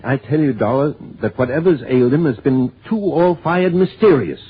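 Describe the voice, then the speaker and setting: Crusty opinionated american guy, folksy grumbling, raspy, tinny old radio show. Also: mid-range voice, expressive, normal speed. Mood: suspicious, grumbling. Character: crusty, suspicious, opinionated man, folksy and insistent. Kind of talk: 1950s radio drama acting. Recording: thin, phone-like old radio recording.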